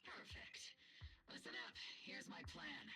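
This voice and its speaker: A woman voice-acting a sly, confident sergeant in a video game, brisk and scheming. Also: whispered, music in the background, lightly acted.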